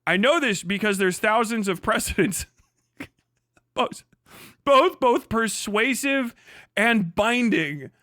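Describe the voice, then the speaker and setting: A man's voice: sits high, sharp tone, dry recording, studio quality. A man hosting a live stream, ranting heatedly and profanely with frequent laughs. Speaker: streamer host.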